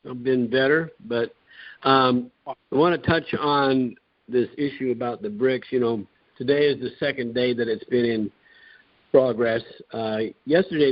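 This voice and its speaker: Low-voiced man on a dull phone call. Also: no room sound. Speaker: caller. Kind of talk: measured commentary on a call.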